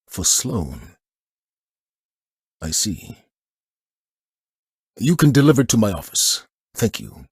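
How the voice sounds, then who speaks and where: An actor voicing a stern, weary military commander in a video game, speaking in a formal, grave, measured way with a husky voice. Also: male voice, sits low, no room sound, studio quality, full character voice. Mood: curt. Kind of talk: video game character dialogue.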